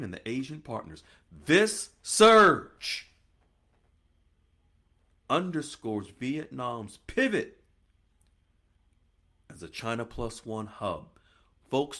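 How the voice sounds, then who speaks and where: A man's voice: medium pitch, bright, forceful tone. A man hosting a finance podcast, talking straight to listeners in an emphatic, preachy monologue that keeps repeating points and calling them "folks.".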